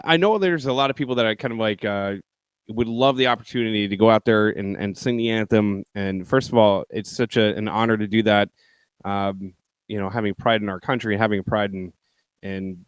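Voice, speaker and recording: A man's voice: fairly low pitch, brisk, clear, resonant tone, dry recording. A man hosting a casual podcast, asking long wandering questions with plenty of fillers. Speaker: podcast host.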